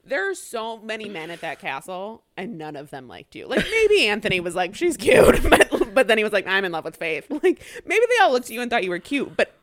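Lively, swearing young woman podcaster. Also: mid-range voice, a little fast, hardly any pauses, a little hiss or hum. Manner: animated, swears freely, acts out quotes. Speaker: podcast co-host. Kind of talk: casual chat recapping a reality show.

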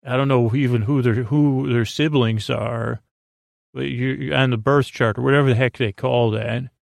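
A man hosting a bedtime podcast in a rambling, improvised monologue, hesitant and halting with false starts, musing whimsically as he goes. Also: medium pitch, steady tone, creaky, no room sound. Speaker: podcast host.

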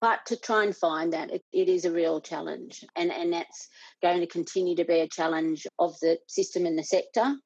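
A woman's voice: on the low side, fairly even intonation, normal speed, darkish tone, slightly rough, dry recording. Earnest Australian woman, dry dull sound. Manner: earnest, thoughtful, many restarts. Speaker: guest, parent and board member. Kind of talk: conversational interview.

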